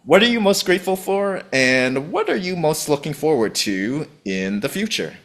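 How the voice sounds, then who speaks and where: A man's voice: a little high, sing-song, moderate pace, fairly bright tone. Rambling male podcast host. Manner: rambling, loose, full of fillers like "like" and "kinda". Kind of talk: casual interview chat.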